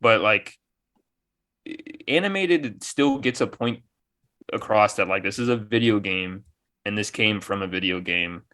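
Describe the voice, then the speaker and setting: Bright-voiced, low-pitched man debating. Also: measured pace, pauses often. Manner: argumentative, casual swearing. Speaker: podcast panelist. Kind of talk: casual opinionated discussion.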